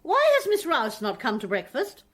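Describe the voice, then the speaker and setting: An actress playing a middle-aged women's college principal in a radio drama, formal and commanding. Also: female voice, sits high, huge pitch swings, normal speed, noisy background. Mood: stern.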